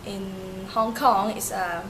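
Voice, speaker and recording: A young woman from Turkey, a native Turkish speaker, answering interview questions in halting English with many fillers and restarts. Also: mid-range voice, steady tone. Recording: noisy amateur recording.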